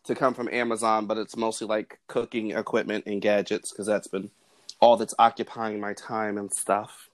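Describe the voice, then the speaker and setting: Man, podcast host, measured explanatory delivery, casual conversation. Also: lowish voice, flat delivery.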